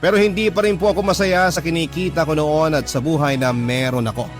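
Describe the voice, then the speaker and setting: A man voices a hardworking guy telling his life story for radio drama. His voice is fairly high for a man, earnest and polite, with clear music playing underneath. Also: fairly even intonation, brisk, close to an everyday voice. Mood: earnest, proud. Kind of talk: dramatised first-person narration for radio.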